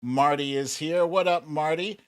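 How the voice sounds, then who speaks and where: Slow-paced American man hosting a livestream. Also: fairly high pitch, smooth. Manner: informal, playful, drawn-out shout-outs to viewers. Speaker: livestream show host. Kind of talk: casual livestream chat to viewers.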